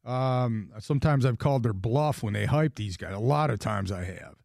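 A man's voice: mid-range voice, moderate pace, creaky, darkish tone, no room sound. Rambling man hosting sports radio, dry studio sound. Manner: rambling, self-interrupting, emphatic. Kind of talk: casual sports talk radio.

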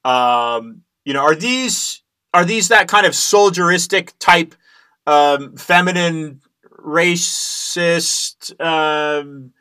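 A man hosting an interview, halting and stumbling through his questions. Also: on the high side, bright, forceful tone, smooth. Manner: halting, many restarts and fillers. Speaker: host.

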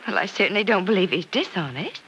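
Tender unsure sister, animated intonation, expressive, old radio drama, slight background noise. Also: female voice, medium pitch, quick pace. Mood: doubtful. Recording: noisy vintage radio recording.

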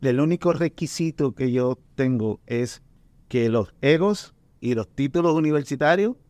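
A man's voice: medium pitch, lively intonation, normal speed, a little mellow, no echo. Chatty Caribbean man, dry close sound. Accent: Caribbean Spanish. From Puerto Rico and Dominican Republic. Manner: chatty, repeats words while thinking, light humor. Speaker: poet and event organizer. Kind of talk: casual conversation.

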